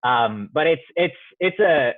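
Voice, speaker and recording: Young male interviewee, thoughtful and halting, feeling out each phrase; close dry sound, somewhat lo-fi. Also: on the high side, expressive, dry recording. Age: young adult. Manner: halting, searching for words, frequent "like". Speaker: student playwright being interviewed. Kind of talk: reflective interview talk.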